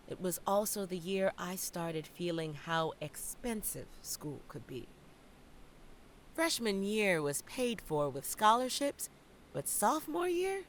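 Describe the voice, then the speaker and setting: A young woman playing a stressed, self-questioning theater student in a dramatic monologue, with theatrical, deliberate delivery. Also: on the low side, a little hiss or hum. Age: young adult. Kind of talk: dramatic monologue in an audio play.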